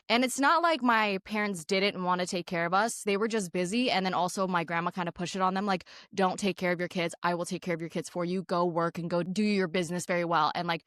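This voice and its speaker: A woman's voice: lowish voice, a bit bright, no echo, clean studio sound. A young woman from Canada hosting a podcast, telling a personal story in a candid way, with lots of "like" fillers. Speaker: podcast host.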